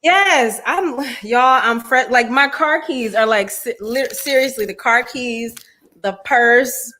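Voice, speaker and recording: A woman with a low alto speaking voice, chatting as a guest on a video call, bubbly and laughing often. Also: slow, bright, forceful tone. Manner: bubbly, laughs often, says honey and y'all. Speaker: guest performer. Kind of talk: casual video call chat.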